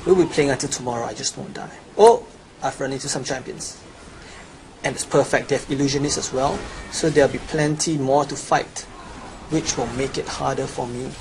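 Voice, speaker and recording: Young male gamer, mid-pitched, scripted guide voice-over, noisy home recording. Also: fairly even intonation, normal speed. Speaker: gamer presenting a gold-making guide. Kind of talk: scripted promotional voice-over with game commentary.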